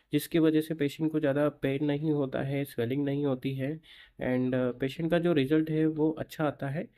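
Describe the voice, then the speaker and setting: A young man, a clinic doctor, steadily explaining a patient's post-surgery result to the camera with filler pauses in a clinic room. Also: on the high side, warm tone.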